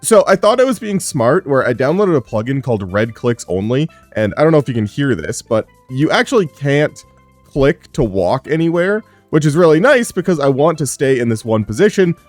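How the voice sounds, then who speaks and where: A man's voice: mid-range voice, moderate pace, no room sound, soft background music. A man giving casual, rambling commentary over his gameplay, sounding a little sick and pushing his voice up a bit. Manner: relaxed, rambling, frequent "uh" fillers. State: sick, strained low voice. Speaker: gaming YouTuber.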